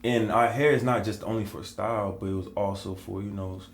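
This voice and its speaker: A man's voice: on the low side, fairly even intonation, brisk, echoey room, a little hiss or hum. A man co-hosting a podcast, talking in an easygoing way in loose, run-on phrases. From United States. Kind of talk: casual conversation.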